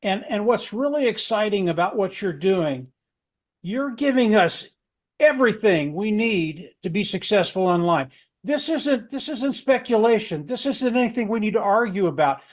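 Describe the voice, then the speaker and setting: A man's voice: high-pitched, animated, normal speed. A man on a panel giving an enthusiastic testimonial in a folksy way, restarting phrases for emphasis.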